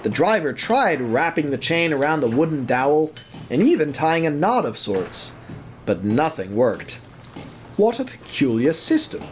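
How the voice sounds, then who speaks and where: A man's voice: a little high, sing-song, moderate pace, slight background noise. A man narrating an audio drama in a scripted, dramatic storybook style.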